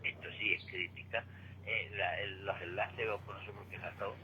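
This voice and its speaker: A man's voice: sits low, fairly even intonation, normal speed. An older man giving opinions as a caller on local radio, emphatic and repetitive, seeking agreement. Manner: emphatic, repeats himself, seeks agreement. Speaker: guest caller. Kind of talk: opinionated conversational radio commentary. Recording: dull telephone line with faint noise.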